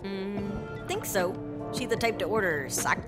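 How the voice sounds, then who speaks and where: An actress playing a casual, matter-of-fact restaurant waitress in a radio drama. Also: female voice, medium pitch, animated, a little slow, lightly acted. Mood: casual, helpful. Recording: music under the dialogue.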